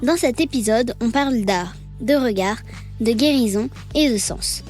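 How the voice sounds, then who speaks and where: Woman, podcast host, measured poetic reading, higher voice, soft music behind. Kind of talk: scripted intro narration and interview questions.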